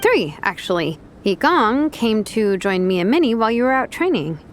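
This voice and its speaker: Laid-back, highish-voiced young woman. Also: sing-song, moderate pace, slight background noise. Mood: breezy. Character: laid-back, nap-loving young woman, confident and breezy. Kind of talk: English anime-style video game dub.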